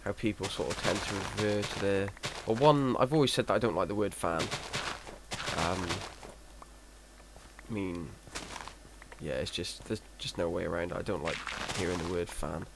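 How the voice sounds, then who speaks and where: A deep-voiced man talks over his gameplay in a flat monotone, with a gravelly rasp at the ends of his words. He wanders off track and puts himself down as he goes, and a steady hiss of noise sits under the recording. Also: normal speed. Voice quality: monotone, self-described. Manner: rambling, hesitant, self-deprecating. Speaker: Minecraft let's player. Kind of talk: casual gameplay commentary. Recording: noisy home recording.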